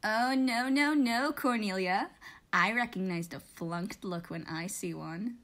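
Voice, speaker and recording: A woman's voice: mid-range voice, unhurried, fairly bright tone. A woman voicing a brash, dramatic, bickering schoolgirl in an amateur comic fandub. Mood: smug.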